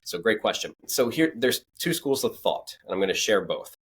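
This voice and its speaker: Deep-voiced man as podcast guest. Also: sing-song, moderate pace, fairly bright tone, slightly rough. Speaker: podcast guest, marketing expert. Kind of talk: conversational expert talk.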